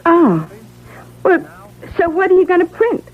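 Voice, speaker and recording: An actress playing a naive, sweet, slightly dim young wife in a radio mystery, speaking in a hesitant, guileless ramble. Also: female voice, very high-pitched, big pitch swings, measured pace, soft background music, full character voice. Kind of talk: radio mystery drama acting. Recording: tinny, band-limited old radio recording.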